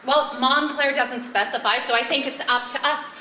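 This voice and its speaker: A woman's voice: sits high, fairly even intonation, normal speed, bright, forceful tone. A woman on stage playing a keen, placating play director who steers a rehearsal in a comedy. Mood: instructing. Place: live stage at a one-act play festival. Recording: roomy stage sound with noise.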